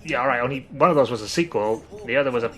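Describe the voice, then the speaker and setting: A man playing a defensive, excitable horror fan in a comedic audio drama. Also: medium pitch, very fast, lightly acted. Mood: conceding.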